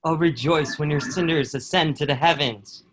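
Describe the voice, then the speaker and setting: A man's voice: fairly high pitch, normal speed, slight background noise, natural voice. A man playing a scornful soldier and prison guard, dry in manner, in a table read of a historical play. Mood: bitter.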